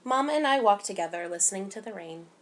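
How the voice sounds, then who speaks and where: A woman reading a picture book aloud to children in an expressive, animated way, giving emphasis to the dialogue. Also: mid-range voice, fast, a little hiss or hum. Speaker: children's story time reader.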